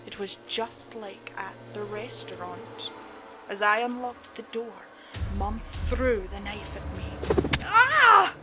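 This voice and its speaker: A woman storyteller reading a horror tale in a dramatic, suspenseful, deliberate way, with music behind her. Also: medium pitch, steady tone. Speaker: storyteller, channel host. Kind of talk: dramatic scripted horror narration.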